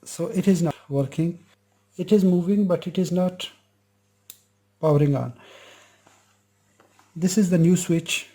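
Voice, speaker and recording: A man with a slightly high but mellow, soft-edged voice talks through an electronics repair. He explains each step slowly, in short and plain sentences, as if showing a viewer exactly what his hands are doing. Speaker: electronics repair channel host. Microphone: lapel mic.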